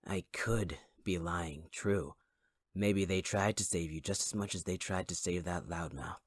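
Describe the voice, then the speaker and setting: A man voicing a taunting young male fire villain in an audio roleplay, speaking in a husky, mocking, intimate voice with close whispers. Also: deep voice, a little slow, no echo. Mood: cruel. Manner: mocking, cruelly patient, intimate. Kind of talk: ASMR audio roleplay acting.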